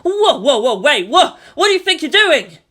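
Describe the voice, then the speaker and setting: A fan reader voicing a flustered teenage boy pilot, yelping high and panicked with nervous laughter. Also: sing-song, moderate pace, pushed and bright, full character voice.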